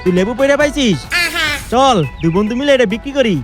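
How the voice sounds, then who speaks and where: A man's voice: very high voice, animated, quick pace, over loud music. A man voicing a scheming, greedy friend in a comic cartoon. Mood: eager.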